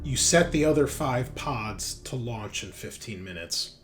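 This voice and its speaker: Male game master, mid-pitched, unhurried and conversational, narrating a tabletop game over faint music. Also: lively intonation. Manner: casual, conversational rulings.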